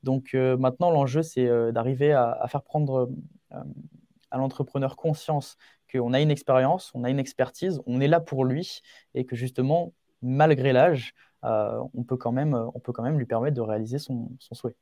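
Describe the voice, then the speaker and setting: A man's voice: mid-range voice, moderate pace, warm tone, no room sound, clean studio sound. An eighteen-year-old man giving advice as a podcast guest in an earnest, informal, emphatic way, often repeating key phrases. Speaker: young entrepreneur, podcast guest. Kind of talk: conversational interview answers, giving advice.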